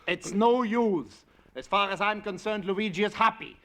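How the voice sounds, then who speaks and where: A stubborn, blunt neighbor who brushes people off. His voice is bright and fairly high. A 1940s radio comedy role. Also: moderate pace. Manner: blunt, dismissive.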